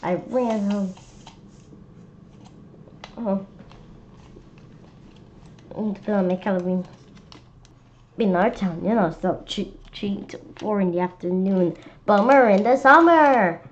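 Woman reader, children's storybook read-aloud, slow and halting with restarts, lo-fi recording. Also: mid-range voice, a little hiss or hum. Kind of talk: reading a picture book aloud. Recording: slightly dull lo-fi sound.

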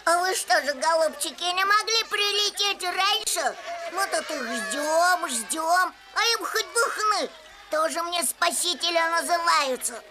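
A woman voicing a cocky, impulsive little boy hero in an animated film, whiny and excitable. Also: very high voice, a little slow, background noise. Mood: indignant. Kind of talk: animated film character acting.

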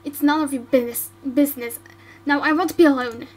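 A woman's voice: high voice, lively intonation, slow, heavily acted, rough recording. A woman voicing a sullen young female bandit who answers curtly and mutters. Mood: irritable. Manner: curt, sulky, muttering.

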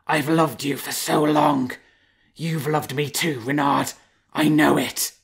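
A gushing young she-wolf from a storybook, put on by a male reader, rattling on in a rough, gravelly voice. Her tone is bright and edgy, lifted above the reader's own pitch. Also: steady tone, exaggerated voice. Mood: passionate. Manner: talks quickly, gushing and breathless. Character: chattering young female she-wolf, nervous and amorous. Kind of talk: storybook reading.

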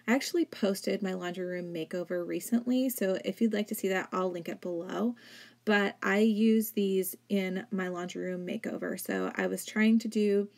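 A woman in her thirties narrates her home cleaning in a relaxed, chatty voice-over, sprinkling "like" through her sentences. Her voice sits at a middle pitch and often settles into a gravelly fry as phrases trail off. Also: normal speed. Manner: chatty, filler-heavy with frequent "like". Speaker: cleaning vlogger. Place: home, indoors.

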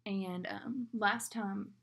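A woman's voice: medium pitch, steady tone, drawn-out words, a bit bright, breathy edge. A young woman with a Southern US accent talks casually and ramblingly to her laptop camera from a small room. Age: young adult. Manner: chatty, rambling, fillers like "like". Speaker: vlogger.

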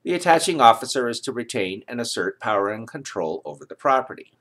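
A man in his sixties reading formal legal text aloud, enunciating numbers and citations deliberately. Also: medium pitch, animated, fairly bright tone. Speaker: reader of his own legal reference book. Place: home study lined with bookshelves, indoors.

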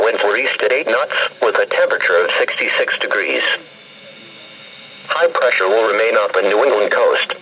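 A man's voice: mid-range voice, bright, forceful tone. A synthesized male announcer reading a scripted weather report impersonally over weather radio. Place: played through a handheld radio indoors. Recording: muffled, noisy weather radio broadcast.

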